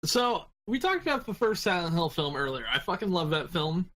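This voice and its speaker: A man's voice: sits high, big pitch swings, a little fast, fairly bright tone. A man bantering casually on a podcast panel, jokey and loose with swearing.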